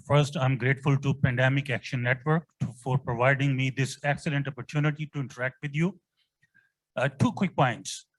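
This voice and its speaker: A man's voice: mid-range voice, fairly even intonation, brisk, rough recording. A man with a Pakistani accent speaking from the floor as a diplomat, earnest, courteous and formal. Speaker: audience questioner, diplomat.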